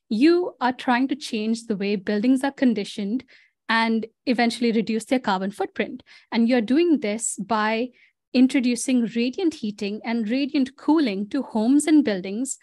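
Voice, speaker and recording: A woman's voice: a little high, a little mellow, dry recording, clean studio sound. A woman hosting a podcast, reading a composed scripted introduction and then asking her guest questions. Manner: clear, composed, scripted delivery. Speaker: podcast host.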